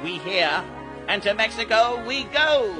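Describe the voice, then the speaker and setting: An eerie, commanding Halloween guide in a radio drama. His highish voice declaims in incantations, with music playing underneath. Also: expressive, a little slow. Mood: ominous, urgent. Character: eerie, theatrical male guide leading boys through Halloween night. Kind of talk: dramatized audiobook radio theatre acting.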